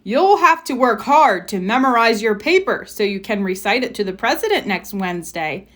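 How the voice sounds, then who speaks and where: Woman as brisk, animated male schoolteacher. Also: on the high side. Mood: brisk, encouraging. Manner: brisk, instructing. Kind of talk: children's storybook read-aloud.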